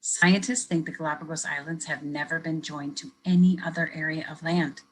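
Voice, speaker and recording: A woman educator reading a picture book aloud to children, pausing to ask them questions in a gentle, expressive way. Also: deep voice, rough recording. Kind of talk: children's read-aloud with teacher commentary.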